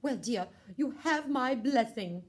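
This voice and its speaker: A woman voicing a polite, brisk, insistent queen and mother in a children's puppet show. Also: on the high side, animated.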